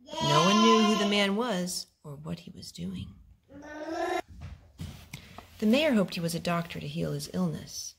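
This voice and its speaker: A woman reading a picture book aloud at home, expressive and gentle, as a host talking to small children. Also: lowish voice, moderate pace. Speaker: storytime host, reader to young children. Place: home living room with wooden floor. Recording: home video with clear background noise.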